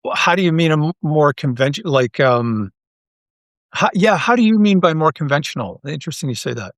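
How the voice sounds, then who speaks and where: A Canadian man with a fairly high voice talks casually as a podcast guest. He restarts and doubles back on his words while telling stories, and the sound is close and dry. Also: no room sound. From Toronto, Canada. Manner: animated storyteller, halting self-interruptions and repeated words. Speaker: podcast guest, audio drama writer. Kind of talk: casual interview chat.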